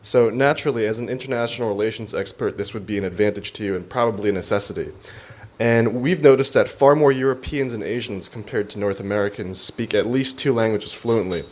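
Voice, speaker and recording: A man's voice: lowish voice, fairly quick, darkish tone. A young adult man interviewing a guest, reading out prepared questions and briefly thanking him between them. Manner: reads out his questions with a brief thank-you.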